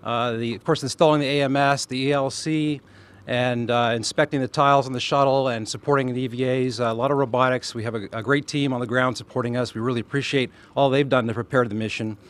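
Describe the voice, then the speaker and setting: Astronaut at a press event, eager and rambling, lots of fillers, plain mid voice. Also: male voice, medium pitch, steady tone, quick pace, smooth. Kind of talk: press statement, loosely spoken.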